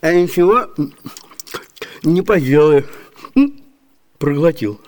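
A man's voice: a little high, slow, a little mellow. A male actor playing a scatterbrained, crafty, hungry servant in a radio comedy, stammering and wheedling in a theatrical way. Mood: musing. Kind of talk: theatrical 1980s Soviet radio comedy acting.

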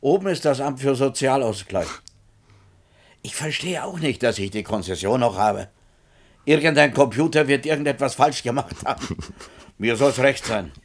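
An actor playing an obliging, plain-spoken bartender in a science-fiction radio play. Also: male voice, mid-range voice, animated, moderate pace, lightly acted.

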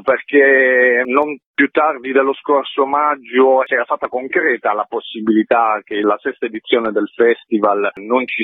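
A man talks as an organiser being interviewed on radio, in long, articulate sentences. His voice is fairly low and rough-edged, and it comes through a narrow, tinny telephone line. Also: dry recording. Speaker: festival organiser, interview guest. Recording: tinny phone line, dry.

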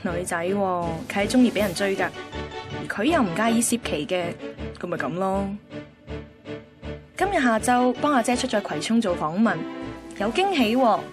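A woman's voice: mid-range voice, expressive, normal speed, long pauses, over music, natural voice. A woman with a Hong Kong Cantonese accent playing a picky, chatty host in her twenties, narrating a radio drama conversationally. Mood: breezy. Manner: cheeky, self-assured, conversational.

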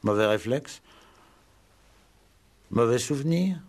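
An actor playing a calm, probing police inspector in a radio play, measured and deliberate as he questions. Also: medium pitch. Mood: stern, pressing. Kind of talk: 1960s French radio play acting.

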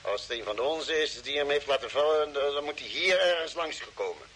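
Inquisitive male space crewman, fairly high forceful voice, earnest, vintage radio drama, slight noise. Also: expressive, a little fast. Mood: purposeful. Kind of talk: old Dutch radio drama acting. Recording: noisy vintage radio play recording.

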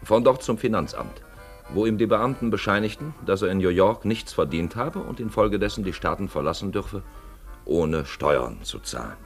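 A male narrator reads a literary radio feature in a fairly low voice. His pitch moves in lively swings, and faint music plays behind him. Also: moderate pace.

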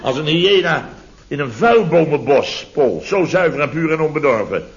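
A man's voice: fairly high pitch, animated, moderate pace, reverberant. A man playing a blustering, sarcastic middle-aged contractor boss in a vintage radio comedy, repeating himself indignantly. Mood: scornful. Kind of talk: 1970s Dutch radio comedy acting. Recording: dull vintage radio recording.

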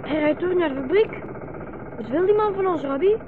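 High-voiced, earnest young boy. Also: expressive, brisk. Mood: tired, uneasy. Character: young boy, hungry, footsore, trusting guide. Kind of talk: 1960s radio play acting. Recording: muffled, hissy 1960s radio recording.

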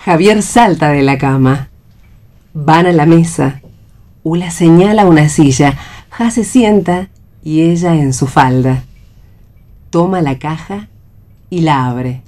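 A woman's voice: low voice, takes it slowly, long pauses, darkish tone. A woman with an Argentine accent reading stage directions as a scripted narrator.

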